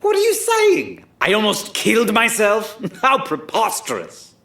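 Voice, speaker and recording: An actor voicing a pompous, defensive fraud of a scientist in an animated audio drama, indignant and haughty, in a roomy echo. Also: medium pitch, measured pace, exaggerated voice.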